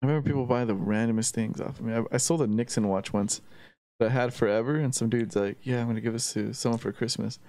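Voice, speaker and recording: A man's voice: medium pitch, steady tone, fast talker, warm tone, no room sound. A man bantering casually with friends as a podcast host, talking in slang with plenty of swearing.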